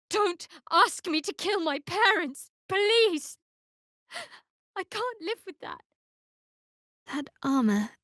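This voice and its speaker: An actress playing a guarded, devout young woman cleric of a dark goddess in a fantasy video game, with a measured, wry delivery. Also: very high-pitched, no echo, clean studio sound, full character voice. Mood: pleading, desperate.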